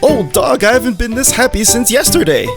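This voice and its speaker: An actor voicing an eager, wide-eyed, food-loving dog in an animated visual novel story. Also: mid-range voice, fairly quick, over music. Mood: overjoyed. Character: Meatball, excitable, naive, friendly, food-loving male dog narrator.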